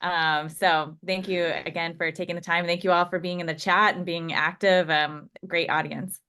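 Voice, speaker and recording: Grateful woman host, low voice. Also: little pitch movement, normal speed, bright, forceful tone, smooth. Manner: grateful, gushing. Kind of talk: interview wrap-up.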